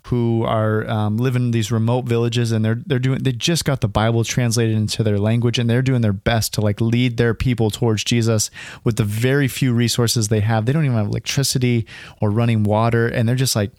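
Man in his 30s, raspy from a cold, solo podcast host, loose and chatty, dry close sound. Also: mid-range voice, little pitch movement, fairly quick, a little mellow, dry recording. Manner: casual, rambling, many fillers and "dude", "man". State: hoarse from a cold. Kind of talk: casual solo podcast talk. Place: alone in a room at home. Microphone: home recording at a computer.

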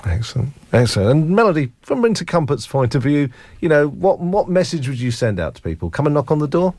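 A man's voice: on the high side, sing-song, fairly quick, a little mellow, no room sound. A man hosting a conversational interview. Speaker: interview host.

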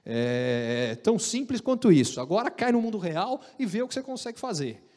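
A man with a Brazilian Portuguese accent telling an animated, joking story about his career, with frequent restarts. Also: sits high, normal speed, big-room sound. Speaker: speaker recounting career story. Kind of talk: casual storytelling talk.

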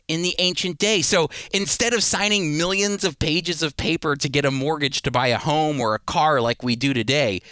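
A man's voice: a little high, bright, forceful tone, clean tone, dry recording. A man hosting a radio Bible program, teaching conversationally and emphatically while speaking straight to the listener. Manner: emphatic, explanatory, addresses the listener directly.

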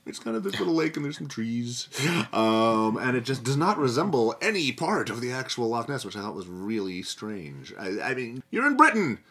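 A man with a bright, clear-cut voice talks casually on a podcast panel. He sits in a middle pitch and tells his stories in an animated, conversational way. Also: normal speed, hardly any pauses. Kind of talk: casual chat about a TV show.

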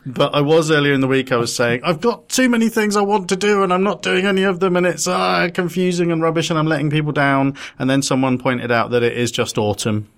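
A man's voice: on the high side, fairly quick, hardly any pauses. A young man from London co-hosting a podcast, chatting casually with self-deprecating, rambling humor. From London, England. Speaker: podcast co-host.

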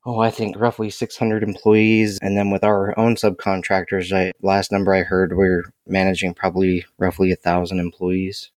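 Low, flat-voiced man in interview. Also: normal speed, few pauses, dry recording. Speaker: podcast guest, safety director. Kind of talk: conversational interview answers.

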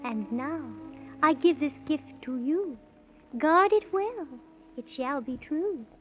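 A woman playing a gentle, solemn enchanted rose, reciting rhymes in a 1930s children's radio serial. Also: sits high, animated, takes it slowly, phone-quality sound, soft background music. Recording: band-limited vintage 1930s radio recording.

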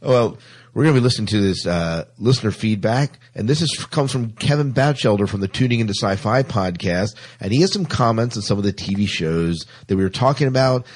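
A man's voice: lowish voice, darkish tone, slightly rough. A man hosting a podcast in casual, agreeable conversation. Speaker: podcast host. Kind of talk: casual chat.

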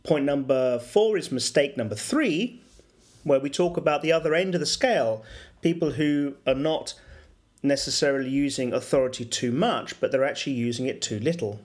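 A middle-aged man talks through a solo podcast episode in a mid-pitched voice. He explains things in a measured way, pausing on fillers and correcting himself as he goes. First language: English. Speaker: podcast host and business English coach.

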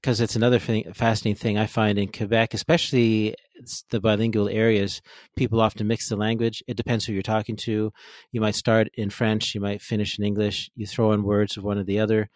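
A man hosts a podcast in an easygoing, chatty manner. His voice is slightly low, and it sounds dry and close. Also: brisk, no room sound. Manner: relaxed, friendly, with fillers like "you know". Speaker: podcast host. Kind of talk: casual interview.

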